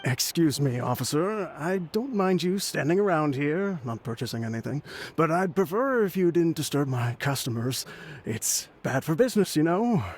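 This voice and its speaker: A man playing a slippery, put-upon shopkeeper who smoothly feigns innocence in an anime game. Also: fairly high pitch, lively intonation, normal speed, slight background noise, natural voice. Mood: feigned politeness.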